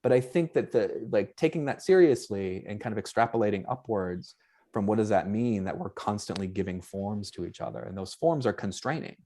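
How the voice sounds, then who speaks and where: Panelist: man, light Boston accent, lowish pitch, academic, tentative and searching, video call. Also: animated, fairly quick, warm tone. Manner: thinks aloud, tentative and searching. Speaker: anthropologist panelist.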